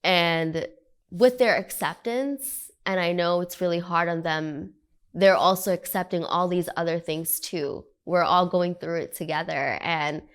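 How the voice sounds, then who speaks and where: A woman's voice: lowish voice, fairly bright tone, clear, resonant tone. A young woman from Guyana giving an earnest, reflective personal testimony that repeats phrases for emphasis. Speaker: oral history participant. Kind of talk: personal oral history testimony.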